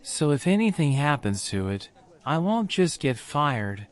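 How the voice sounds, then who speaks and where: A man's voice: on the high side, sing-song, measured pace, voice pushed up. A man voicing Jack, a young boutique worker anxious about a borrowed dress. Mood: worried.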